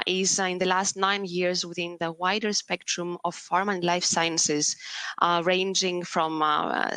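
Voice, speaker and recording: A woman's voice: lowish voice, sharp tone, no echo. A woman with a Greek accent presenting a corporate career webcast, fluent with frequent filler sounds. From Greece.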